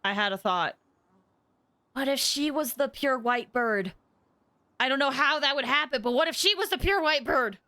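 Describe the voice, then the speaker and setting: Female game streamer, bright and lively, slightly high, casual and chatty, dry close mic, soft music behind. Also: normal speed, long pauses, no echo. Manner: chatty, excitable, swoops into squeals. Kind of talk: casual live stream commentary.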